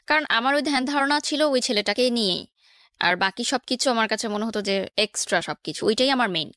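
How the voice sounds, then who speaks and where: A woman with a fairly high voice and a Bangladeshi accent recounts her own life as a guest. She drops English words into her sentences. The sound is dry and close. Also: expressive, a little fast, a bit bright, no echo. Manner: code-mixes English words into speech. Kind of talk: personal storytelling in a radio interview.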